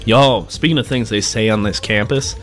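A young man with a stuffed-up, nasal voice, rambling loudly in a casual chat and often breaking off his own sentences. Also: on the low side, moderate pace, faint music behind. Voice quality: loud. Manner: rambling, self-interrupting, laughs often. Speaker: co-host.

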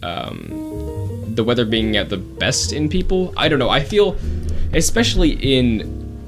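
A man's voice: medium pitch, very animated, a little slow, vocal fry, fairly bright tone, soft background music. A young man co-hosting a casual chat, teasing with dry humor. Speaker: co-host.

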